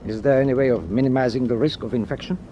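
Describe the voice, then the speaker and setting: An actor playing an imperious German wartime sea captain in a radio drama, curt, commanding and impatient. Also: male voice, mid-range voice, racing, warm tone, highs cut off, a little hiss or hum, lightly acted. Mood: grave, commanding.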